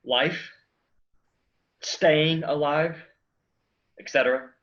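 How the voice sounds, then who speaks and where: A man's voice: fairly high pitch, fairly even intonation, very slow, a bit bright, lo-fi sound. An actor playing a weary, brooding, sardonic man in a staged play reading. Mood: wary, dry.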